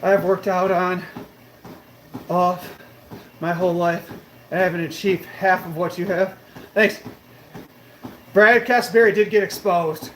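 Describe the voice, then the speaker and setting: A man's voice: high voice, fairly even intonation, measured pace, long pauses. A man in his late thirties, livestreaming while on a treadmill, brash and boastful, a bit out of breath. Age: 30s. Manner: boastful and brash, swears casually. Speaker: fitness livestreamer. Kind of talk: casual livestream chat reading comments. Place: gym on a treadmill. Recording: echoey gym room with faint noise.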